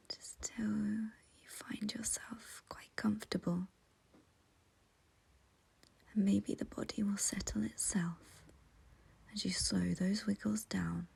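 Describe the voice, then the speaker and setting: A woman leading a guided meditation in a soft, breathy, whispery voice, gentle and soothing, with long pauses. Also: medium pitch.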